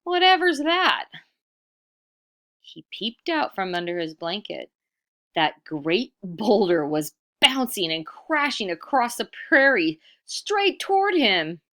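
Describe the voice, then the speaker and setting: A woman reading a children's picture book aloud expressively, pausing for casual comments and questions. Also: medium pitch, sing-song, fairly bright tone. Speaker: storybook reader.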